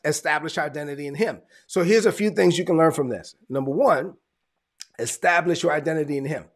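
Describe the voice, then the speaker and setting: New pastor, husky voice, preaching hard and repeating himself. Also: male voice, a little high. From New York. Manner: repetitive, emphatic preaching with lists. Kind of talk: sermon.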